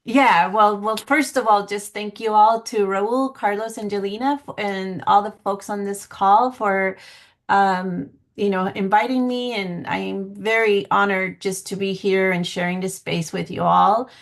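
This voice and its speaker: Woman from Guatemala, mid-range voice, presenting online; earnest and measured, slightly hesitant in phrasing. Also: mid-range voice, steady tone. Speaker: public health planning coordinator giving a presentation. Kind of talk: semi-formal online presentation. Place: video call. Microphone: video call microphone.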